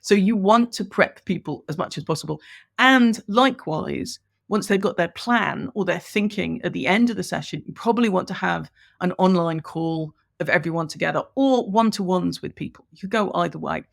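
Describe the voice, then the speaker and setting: A woman's voice: on the low side, normal speed, creaky. Chatty Irish-born woman with English accent. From Ireland. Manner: enthusiastic and chatty, laughs while explaining. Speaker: guest, business coach. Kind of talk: conversational podcast interview.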